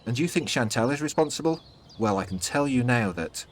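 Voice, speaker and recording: A man voicing a forthright, sharp-tongued older woman with magic powers in a fantasy audio story. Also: mid-range voice, expressive, fairly quick, pitched above the performer's own voice, lightly acted. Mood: defensive. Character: stern, playful older woman, protective grandmother with magic.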